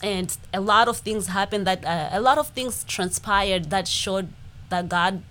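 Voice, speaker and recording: A woman in her forties with a Zimbabwean accent, co-hosting a podcast and telling her life story in a lively way, acting out the things people said. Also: medium pitch, a little slow. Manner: animated, acts out quoted speech. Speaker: podcast co-host. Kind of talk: casual personal storytelling.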